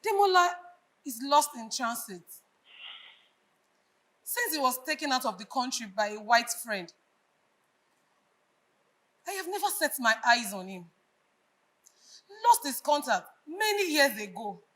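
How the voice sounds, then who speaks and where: A woman's voice: high-pitched, big pitch swings, measured pace, pauses often, fairly bright tone, full character voice. A woman acting a grieving, unhinged bereaved mother in a live stage drama, declamatory and anguished, with a Nigerian accent. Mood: sorrowful.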